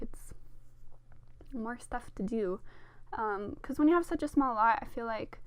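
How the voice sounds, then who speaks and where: A young woman with a sore throat, slightly hoarse, casually narrating a game build in a rambling, self-deprecating way with lots of fillers. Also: a little high, no room sound. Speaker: Sims speed-build narrator. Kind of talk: casual voice-over commentary.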